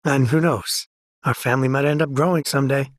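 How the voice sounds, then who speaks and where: A man's voice: mid-range voice, fairly even intonation, fairly quick, no room sound, studio quality, lightly acted. A man playing an affectionate partner in a video game, chatting in a breezy, conversational way. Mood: fond, breezy. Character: affectionate male pianist planning a home with his partner. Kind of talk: casual chat in a video game.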